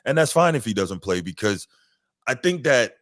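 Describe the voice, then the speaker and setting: A man co-hosting a casual sports podcast, making long, measured points. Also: mid-range voice, very animated, fairly bright tone, no echo, studio quality. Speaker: podcast co-host.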